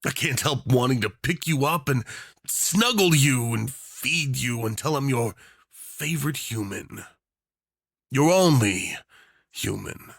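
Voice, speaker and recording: A man's voice: medium pitch, expressive, slow, gravelly, a bit bright, no echo, clean studio sound, heavily acted. A man playing a doting, unhinged rich tyrant in an obsessive romance roleplay, theatrical and saccharine, teasing with pet names. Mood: pleading, smitten. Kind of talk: yandere ASMR roleplay acting.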